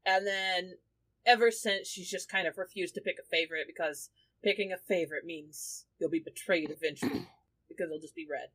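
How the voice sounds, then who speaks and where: A woman with a very bright, forward voice chats casually. She talks emphatically and swears freely, like a player among others at a tabletop podcast. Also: on the low side, brisk, low-quality recording. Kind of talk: casual chat.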